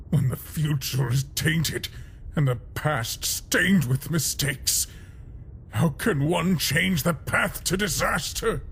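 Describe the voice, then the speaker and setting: A man playing a weary, solemn monster king who speaks formally and deliberately in a dramatic comic dub. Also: fairly low pitch, slight background noise. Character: grave, weary monster king burdened by his people's fate. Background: background music.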